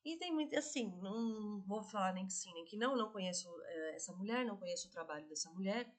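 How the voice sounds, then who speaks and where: A woman with a cold, hoarse, hosting a spirituality reaction video and explaining slowly, checking in with her viewers. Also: mid-range voice, pushed and bright. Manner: slow, explanatory, often checks in with "tá?".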